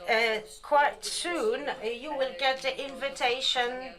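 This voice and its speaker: A woman speaking as a conference presenter, in formal administrative wording with a halting, measured delivery. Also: high voice, fairly even intonation, a crowd in the background. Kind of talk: formal conference presentation on health policy procedures.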